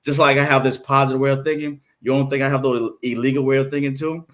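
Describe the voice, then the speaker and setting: A man in his fifties from Florida with a Southern accent, a guest in a casual interview who tells lively stories and acts out quoted voices. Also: medium pitch, fast talker. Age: 50s. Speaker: podcast guest, playwright.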